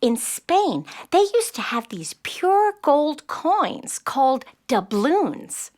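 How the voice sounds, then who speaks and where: Nine-year-old know-it-all girl, earnest and eager, high and very animated. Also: slow, exaggerated voice. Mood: eager, explaining. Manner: earnest, chatty, explaining. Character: bright, eager, spirited nine-year-old girl who loves facts. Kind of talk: children's audio play acting.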